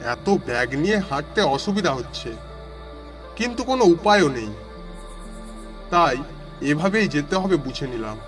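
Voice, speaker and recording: A man's voice: fairly high pitch, big pitch swings, normal speed, pauses often, a bit bright, faint music behind. A man voicing a village traveller who narrates his suspenseful walk home as a dramatic first-person audio story. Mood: measured. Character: male villager walking home through a storm, narrating.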